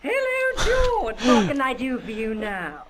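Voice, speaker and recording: Flamboyant bossy grande dame, game character, really high and swoopy, bit of background noise. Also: female voice, wildly swooping pitch, unhurried, full character voice. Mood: grandly gracious. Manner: imperious, flamboyant, swooping delivery. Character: grand, theatrical, bossy eccentric lady ally. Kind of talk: video game voice acting.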